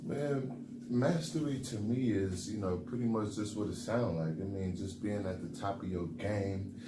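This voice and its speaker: A man's voice: on the low side, unhurried. A young man from the Bronx, co-hosting a motivational podcast in a laid-back way, full of fillers. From the Bronx, New York. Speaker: podcast co-host. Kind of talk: casual motivational chat. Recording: echoey room with background noise.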